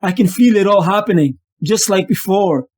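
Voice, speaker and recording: High-pitched male voice, troubled vigilante, brooding comic-book narration, confessional, fraying sanity, acted by a man. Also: normal speed, a little mellow, slightly rough. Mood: grimly determined. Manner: brooding, confessional internal monologue. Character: tormented, ailing male vigilante losing his sanity. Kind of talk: dramatic first-person comic narration.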